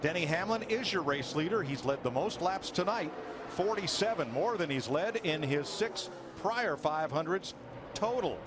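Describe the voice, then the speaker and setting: A man's voice: a little high, expressive, normal speed. A man calling a live motor race as lead commentator. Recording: loud engine noise behind. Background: roaring race cars at a speedway.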